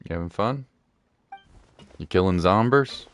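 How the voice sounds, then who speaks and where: A man streams a game with a very deep voice. His intonation swings freely as he chats casually and playfully. Some game music sits faintly behind him. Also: lively intonation, measured pace. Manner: playful, chatty. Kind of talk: casual livestream commentary.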